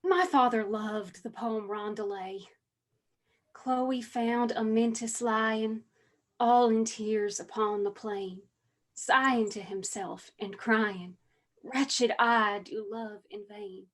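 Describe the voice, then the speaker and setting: An actress playing a bookish, wistful mountain daughter in a stage monologue, storytelling lyrically with an Appalachian accent. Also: female voice, on the high side, fairly even intonation, takes it slowly. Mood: tender, reciting. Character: bookish, wistful mountain daughter reminiscing about her father.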